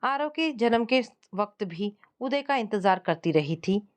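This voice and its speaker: A woman narrates a scripted domestic story in the first person. Her pitch sits in the middle range for a woman, and she tells it as a storyteller reading from a written text. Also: lively intonation, a little slow.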